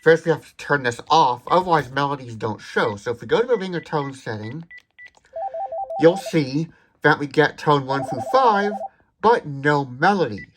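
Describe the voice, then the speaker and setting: A man's voice: on the high side, expressive, normal speed, a bit bright, slight background noise, rough recording. A man giving an explanatory product demonstration in a methodical, precise way, stressing key words. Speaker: tech demonstrator.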